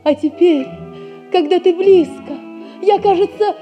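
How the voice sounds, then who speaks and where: Picture a headstrong young merchant's daughter who is set on having her way in love. Her voice is high and coaxing, and music runs beneath this 1960s stage radio play. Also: a little slow, warm tone, muffled recording. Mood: tender. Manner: wilful, coaxing. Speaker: stage actress.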